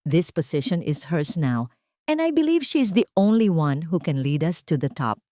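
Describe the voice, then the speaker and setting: A woman's voice: low-pitched, very animated, normal speed, dark tone, no echo, clean studio sound. A woman with a Filipino accent voicing a proud, callous billionaire husband in an audio story, formal and self-satisfied.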